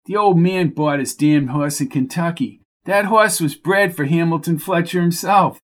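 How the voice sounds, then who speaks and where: A man voicing a hot-tempered male racehorse owner who talks gruffly and bosses people around. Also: on the high side, moderate pace, voice pushed up, close to an everyday voice. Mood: angry. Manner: gruff, bossy, threatening.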